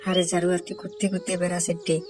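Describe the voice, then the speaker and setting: A woman's voice: fairly low pitch, monotone, racing, music in the background, lightly acted. A woman playing a nagging, exasperated village woman in a cartoon, with a northern Bangladeshi accent. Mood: scolding. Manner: nagging, complaining. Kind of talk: Bengali village cartoon voice acting.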